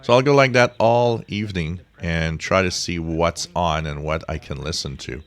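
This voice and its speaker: Very deep-voiced man explaining casually. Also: moderate pace. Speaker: shortwave radio hobbyist presenting a tutorial. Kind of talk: casual explanatory screen-share tutorial.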